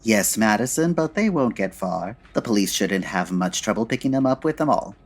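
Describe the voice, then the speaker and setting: An actor playing a witty, unflappable gentleman sleuth in a comedy audio drama, talking in a suave, wry, old radio detective manner. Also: on the low side, lively intonation, normal speed, breathy edge. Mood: amused, punning.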